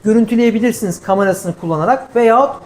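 A woman's voice: fairly high pitch, quick pace, a little mellow. A woman giving a live product demonstration, explaining things step by step as a presenter.

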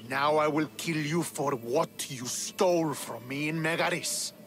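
An actor playing a bitter, proud young Spartan commander in a video game, speaking in a scornful, commanding, clipped way. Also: male voice, a little high, a little slow, a bit bright, soft background music. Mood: angry, accusing. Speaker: military commander. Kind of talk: dramatic video game cutscene acting.

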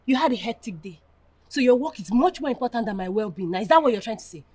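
A woman's voice: a little high, expressive, fast, darkish tone, breathy edge. An actress playing a scornful, imperious young senator's daughter with a Nigerian accent, scolding her lover in film melodrama style.

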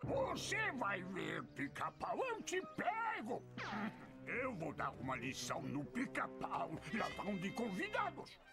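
An actor playing a blustering, hot-tempered middle-aged neighbor in a cartoon, with theatrical exclamations. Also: male voice, sits high, huge pitch swings, slow pace, gravelly, exaggerated voice. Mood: angry, vengeful. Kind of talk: exaggerated cartoon dub acting. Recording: loud cartoon music behind.

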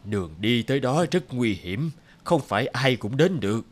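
A man's voice: mid-range voice, very animated, normal speed. A man voicing a wise old village elder. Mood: grave. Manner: solemn, ceremonial speech. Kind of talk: cartoon voice acting. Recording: voice over loud music.

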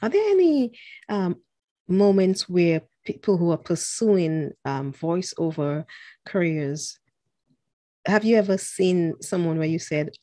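A woman's voice: fairly low pitch, animated, slow pace, dark tone, no room sound, studio quality. A woman hosting a podcast, asking questions in a hesitant way with many fillers over a video call. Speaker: podcast host. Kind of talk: interviewing a guest. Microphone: video call with a dark foam mic.

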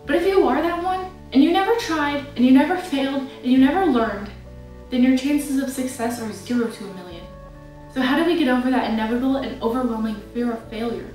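A woman's voice: high-pitched, a little fast, a little mellow, faint music behind. A young woman giving a motivational, emphatic graduation speech to her class. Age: young adult. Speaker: graduating student speaker. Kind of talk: prepared commencement speech. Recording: very echoey room.

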